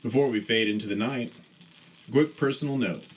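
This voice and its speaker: A man's voice: medium pitch, moderate pace, dull audio, natural voice. A man playing a patriotic wartime radio news anchor, hosting a scripted military broadcast with formal announcer delivery. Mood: friendly, promotional. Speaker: radio show host.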